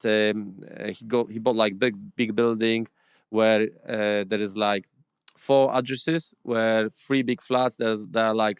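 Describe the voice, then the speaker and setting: A man who is a property investor, telling his story as an interview guest in halting, non-native English with many fillers and restarts. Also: on the low side, smooth, dry recording, clean studio sound.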